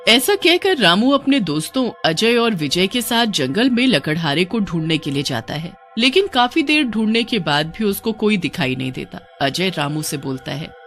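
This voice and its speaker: A woman's voice: mid-range voice, sing-song, moderate pace, hardly any pauses, fairly bright tone, soft background music. A woman narrating a scripted horror folk tale as a storyteller.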